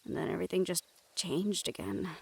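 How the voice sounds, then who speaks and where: A woman's voice: on the low side, steady tone, fairly quick, gravelly, slight background noise. A woman playing a young monster hunter in an intimate audio roleplay, casual and sweary, wry, teasing and tender with a partner. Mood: weary, tender. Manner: casual, wry, sweary, breaks into soft chuckles. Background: music under the voice.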